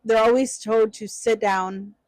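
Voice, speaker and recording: A woman co-hosting a casual podcast, talking animatedly and jumping up in pitch when she acts out other people. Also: measured pace, dry recording, low-quality recording. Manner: animated, voices quoted people with big pitch jumps. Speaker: podcast co-host.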